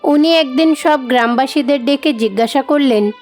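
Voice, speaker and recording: A woman's voice: high-pitched, moderate pace, a little mellow. A woman narrator reading a scripted moral tale for a cartoon. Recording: music behind the voice.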